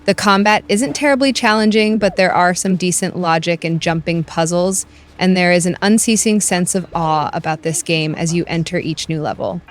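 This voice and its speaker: Brief: female narrator with a low-ish voice for a scripted game review, even and measured. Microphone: voice-over.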